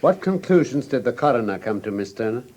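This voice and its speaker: A shrewd English gentleman detective in a classic radio play. His mid-pitched voice moves through lively rises and falls. He puts each question courteously and incisively, in crisp Received Pronunciation. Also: a little fast. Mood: probing, courteous. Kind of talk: classic British radio drama acting.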